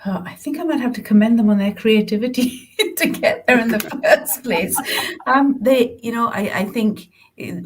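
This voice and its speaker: A woman with a slightly low, husky voice answers as a panel guest. She is conversational and reflective, pausing as she thinks. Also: rough recording.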